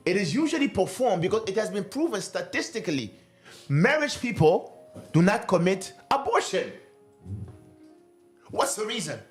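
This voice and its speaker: A man preaching in an earnest, urgent way, leaning on questions and repeating his words. His voice sits high and rises and falls a great deal. Soft music plays faintly underneath. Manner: earnest, rhetorical questions, repeats key phrases. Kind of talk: sermon.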